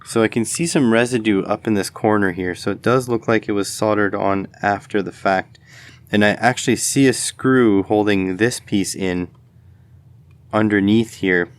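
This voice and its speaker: Low-pitched man thinking aloud. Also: fairly even intonation, normal speed, smooth. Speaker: host of an electronics video channel. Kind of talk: casual hands-on teardown commentary.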